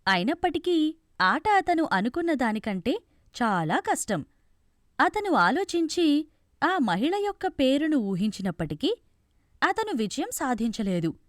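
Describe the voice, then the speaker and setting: A woman tells a fairy tale from a script in a high voice. Her pitch moves up and down in an animated way, and the sound is close and dry. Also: unhurried, pauses often, no room sound. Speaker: storyteller.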